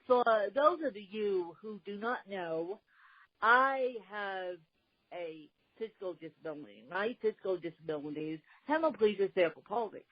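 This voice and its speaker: A woman hosting a podcast interview, speaking deliberately with a slight speech impediment. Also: mid-range voice, lively intonation, breathy edge, dry recording, lo-fi sound. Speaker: podcast host.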